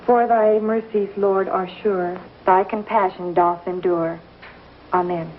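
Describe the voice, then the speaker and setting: An actress playing a gentle, proper, devout frontier schoolteacher in a 1950s television Western. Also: female voice, medium pitch, fairly even intonation, slow, a little hiss or hum, close to an everyday voice. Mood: reverent. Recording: dull old TV soundtrack with music.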